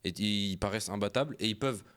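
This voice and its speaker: Co-host, male, lowish voice, builds his argument patiently with fillers. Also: fast talker, a bit bright. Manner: argumentative, reasoned, hesitant with fillers. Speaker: podcast co-host. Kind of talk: casual football debate.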